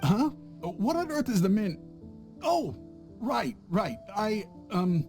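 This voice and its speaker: A jittery, evasive merchant. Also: male voice, high-pitched, sing-song, takes it slowly, darkish tone, heavily acted. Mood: flustered. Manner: hesitant, stammering, covering slips. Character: nervous, evasive male merchant. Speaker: traveling merchant. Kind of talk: video game voice acting. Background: game music.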